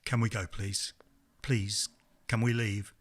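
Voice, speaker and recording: A man's voice: lowish voice, little pitch movement, takes it slowly. A man reading a short story, voicing an uneasy, pleading male friend who stammers apologetically. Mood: pleading, tearful. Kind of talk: acted dialogue in a literary audiobook.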